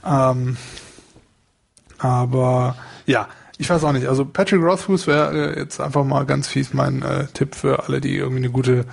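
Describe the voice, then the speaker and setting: Slangy, gravelly man on a podcast. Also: mid-range voice, normal speed. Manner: relaxed, slangy, playfully teasing. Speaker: podcast co-host. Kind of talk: casual chat.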